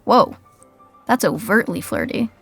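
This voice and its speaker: A woman's voice: medium pitch, wildly swooping pitch, measured pace, gravelly, fairly bright tone, breathy edge, soft background music, full character voice. A woman playing the wry narrator of a scripted sitcom.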